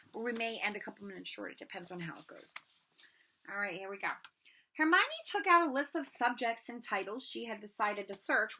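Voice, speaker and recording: A woman narrating a storybook aloud in an expressive read-aloud style. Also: a little high, slight background noise.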